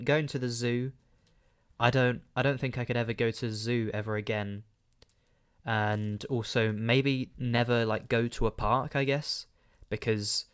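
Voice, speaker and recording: Chatty young London man, smooth voice. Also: mid-range voice. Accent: southern British, London. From London, England. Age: young adult. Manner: rambling, self-correcting, chatty. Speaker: podcast host. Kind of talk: casual solo podcast.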